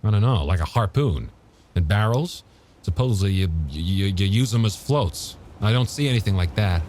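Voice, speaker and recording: Man as a low, blunt police chief. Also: expressive, normal speed, darkish tone, a little hiss or hum, close to an everyday voice. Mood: thoughtful. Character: gruff male police chief, steady, grimly practical.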